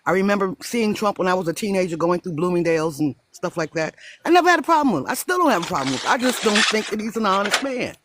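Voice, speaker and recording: A woman's voice: mid-range voice, fast talker, hardly any pauses, noisy background. A woman from New York calling in to a talk show, chatty and blunt. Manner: animated, chatty, blunt opinions. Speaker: caller. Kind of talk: casual call-in chat.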